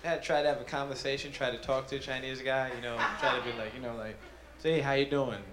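A man's voice: mid-range voice, flat delivery, very fast. A man doing brash, profane stand-up comedy, talking conversationally and teasing a live audience. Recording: noisy live club, loud crowd, roomy echo.

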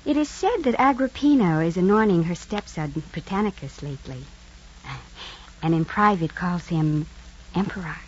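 An actress playing a soothing, manipulative lover of a Roman emperor, softly coaxing in old radio drama style. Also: female voice, fairly low pitch, animated, moderate pace, husky. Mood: coaxing, seductive. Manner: soft, coaxing. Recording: dull, noisy old radio recording.

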